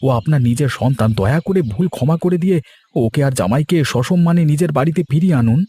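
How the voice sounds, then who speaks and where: A man's voice: fairly high pitch, expressive, brisk, mellow, breathy edge, no room sound, voice pushed up. A man voicing a loyal, earnest male servant in a cartoon. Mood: earnest pleading. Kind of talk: Bengali cartoon dubbing.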